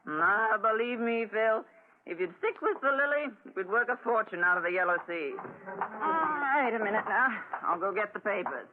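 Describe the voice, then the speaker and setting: A woman's voice: on the high side, expressive, normal speed, a little hiss or hum, close to an everyday voice. A brash middle-aged woman who runs a dockside bar, acted by a woman in a 1940s radio drama. She is nosy and coaxing, with theatrical, widely swinging intonation. The sound is a thin, narrow old broadcast. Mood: coaxing, scheming. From United States. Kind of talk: 1940s radio adventure acting. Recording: tinny 1940s radio recording.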